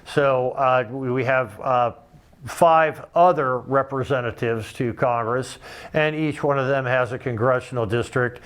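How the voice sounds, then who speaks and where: A man with a loud voice giving a casual, rambling campaign talk to camera as his own show's host, with many restarts and fillers. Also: medium pitch, unhurried, clear, resonant tone. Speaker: political candidate hosting his own weekly videos. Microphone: camera microphone close by.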